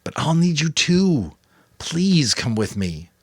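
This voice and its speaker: A man's voice: on the high side, big pitch swings, slow, husky, no echo, clean studio sound, pitched above the performer's own voice, exaggerated voice. A man voicing an earnest, pleading young boy in an audiobook story.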